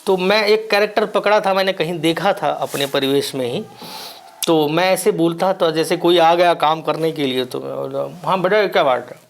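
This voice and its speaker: A man from Bihar whose first language is Maithili, answering interview questions as an actor in a measured, reflective way with frequent pauses. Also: a little high, gravelly. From Bihar, India.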